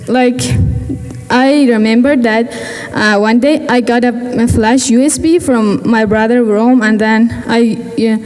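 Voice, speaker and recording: Teenage girl, halting. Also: a little high, fairly even intonation, a little mellow, in a large hall, soft background music, poor audio. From Afghanistan. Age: teens. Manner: halting, searching for words in English. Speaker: student speaker. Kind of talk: personal speech at a gala. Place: large event hall.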